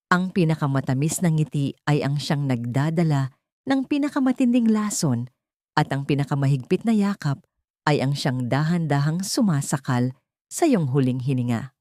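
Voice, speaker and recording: Low-pitched woman narrating dramatic fiction. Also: dark tone, no echo, studio quality. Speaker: audio story narrator. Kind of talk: dramatic scripted story narration.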